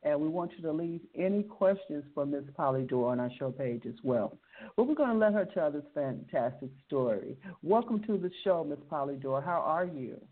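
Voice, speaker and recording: A woman's voice: low-pitched, animated, normal speed. A woman hosting a radio interview, upbeat and encouraging, often repeating her words. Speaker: radio show host. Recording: tinny phone-like sound.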